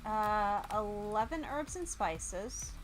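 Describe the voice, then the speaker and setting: A woman's voice: mid-range voice, lively intonation, slow pace, soft background music. A woman doing live game stream commentary, reading on-screen text aloud and breaking into exasperated exclamations. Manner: exclaims loudly in exasperation, mutters while reading. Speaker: VTuber streamer.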